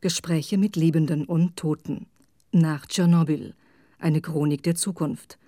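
A woman's voice: deep voice, steady tone, a little slow, dark tone, dry recording, studio quality. A woman announcing the title of a radio play in a scripted style.

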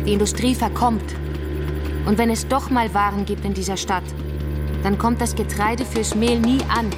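An actress playing a militant, sharp and accusing revolutionary woman in a German radio drama, speaking in an insistent, declamatory way. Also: mid-range voice, lightly acted. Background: music.

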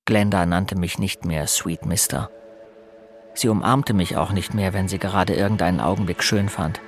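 A man's voice: low voice, normal speed, a little hiss or hum, natural voice. An actor narrating in the first person as a brooding man recalling boyhood, scripted for a German audio drama.

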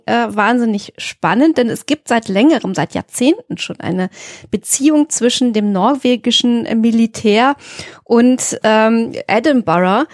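A woman co-hosting a podcast, explaining things casually and often pausing mid-sentence to find her words. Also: fairly high pitch, darkish tone, no room sound, clean studio sound. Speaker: podcast co-host.

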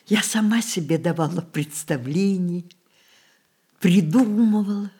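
An actress playing a dying old matriarch in a radio drama, imperious yet tender, with theatrical, halting phrases. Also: female voice, fairly low pitch, mellow, husky. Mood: wistful confession. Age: older. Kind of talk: Russian stage-style radio drama acting.

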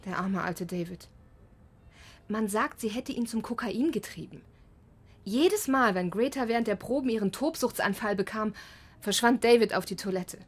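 An actress playing a chatty young understudy actress in a German radio drama, gushing and a bit nervous. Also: female voice, medium pitch, animated, moderate pace, breathy edge. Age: young adult.

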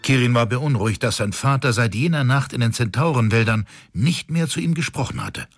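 An actor as the storyteller of a German fantasy radio drama, giving scripted narration. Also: male voice, medium pitch, animated, a little fast, faint music behind, lightly acted. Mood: storytelling. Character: male storyteller narrating a fantasy tale, lively. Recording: noisy ambience behind voice.

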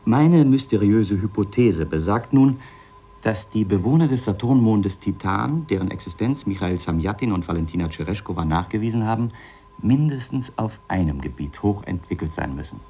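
An eager scientist in a radio play, low-pitched and mellow. He lectures insistently, like a professor setting out bold theories, with some background noise in the 1970s recording. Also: lively intonation, moderate pace. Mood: earnest lecturing. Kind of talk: 1970s German radio drama acting.

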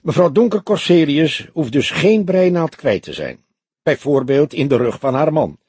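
A young male detective, voiced by a man reading aloud, sounds eager and inquisitive. His husky voice moves freely in pitch as he needles his colleague in a crime audiobook. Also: expressive, normal speed, a little mellow, no echo. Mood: teasing. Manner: teasing, inquisitive. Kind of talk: audiobook character dialogue.

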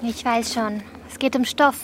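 Woman doing a small nervy young girl, really high plaintive voice, radio drama, buggy background noise. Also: fairly even intonation, normal speed, fairly bright tone, lightly acted. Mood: defiant. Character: small, childlike thirteen-year-old girl, anxious but stubborn. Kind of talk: German radio play acting.

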